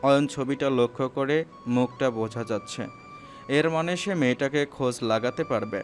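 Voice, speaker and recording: Male narrator, medium pitch, scripted audio story read over background music. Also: moderate pace.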